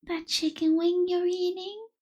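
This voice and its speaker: A woman playing a gentle, caring young vampire in an intimate ASMR roleplay, soft-spoken and breathy, with tender hesitations. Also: extremely high pitch, fairly even intonation, unhurried. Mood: grateful, gentle.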